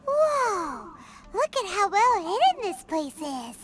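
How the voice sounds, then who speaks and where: A woman voicing a tiny, curious, childlike fairy companion in a video game, squeaky and excitable. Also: takes it slowly, exaggerated voice. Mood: excited. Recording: loud game music behind the voice. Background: game music.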